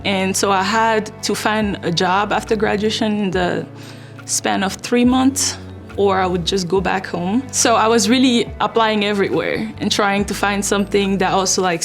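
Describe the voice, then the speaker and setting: A woman's voice: mid-range voice, moderate pace. Young ivorian woman w/ french west african accent, thoughtful chatty interview, faint music under it. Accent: French-speaking West African. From Ivory Coast. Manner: reflective, many "like" and "you know" fillers. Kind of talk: documentary interview.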